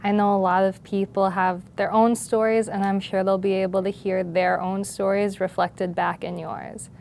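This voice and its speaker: A woman's voice: medium pitch, little pitch movement, moderate pace, creaky, darkish tone, slight background noise. Polite woman interviewer. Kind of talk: polite, prepared interview hosting.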